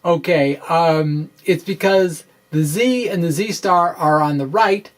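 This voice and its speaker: A middle-aged man presenting an informal science explainer, with halting, emphatic delivery and wry asides. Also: fairly high pitch. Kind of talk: informal dramatic reading of a physics explainer. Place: small indoor room with bookshelves. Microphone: microphone on stand close in front.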